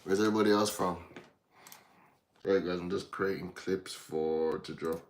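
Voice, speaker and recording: A man's voice: low-pitched, fairly even intonation, normal speed. An Irish man from Dublin hosting a casual livestream, rambling and distracted as he chats with viewers. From Ireland, from Dublin. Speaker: livestream host.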